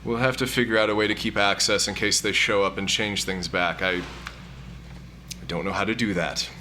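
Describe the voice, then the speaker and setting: A man playing a mild, cautious elderly programmer in an audio drama, measured, wry and understated. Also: lowish voice, steady tone, bright, forceful tone, a little hiss or hum. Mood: worried, cautious. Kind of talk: scripted audio drama acting.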